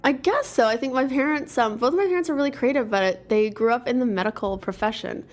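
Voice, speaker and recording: A middle-aged woman from Toronto, talking as an interview guest in an upbeat, relaxed way with many ums. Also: on the high side, quick pace. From Toronto, Canada. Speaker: interview guest, marketing executive. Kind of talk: casual conversation.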